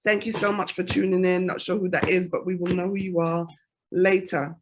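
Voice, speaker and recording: A woman radio host opening the show with blessings, warm greetings and shout-outs to listeners. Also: fairly low pitch, level tone, fairly quick. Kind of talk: lively show introduction.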